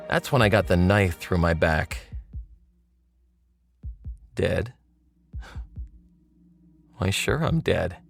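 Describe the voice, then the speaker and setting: An actor playing a sardonic dead ex-convict in a radio mystery, talking wryly and slangily to the listener as a pal. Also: male voice, low-pitched, vocal fry, exaggerated voice. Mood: coolly menacing. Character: sardonic dead ex-convict telling his own murder story. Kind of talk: old-time radio noir monologue.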